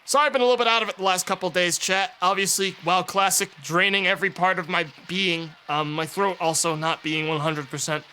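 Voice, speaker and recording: A young man streaming live, his voice hoarse and raspy. It sits a little high and has a bright, forward edge. He talks to chat in a loose, rambling way and lets sentences trail off. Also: steady tone, a little fast, few pauses, a little hiss or hum. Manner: rambling, offhand, trails into mumbles. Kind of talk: casual live-stream chat, thanking subscribers.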